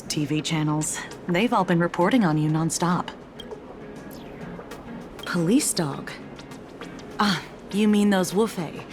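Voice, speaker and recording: Polite composed lady officer in a game, lowish voice, music under it. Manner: polite, explanatory. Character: composed, genial female officer of an anomaly department. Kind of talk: video game voice acting.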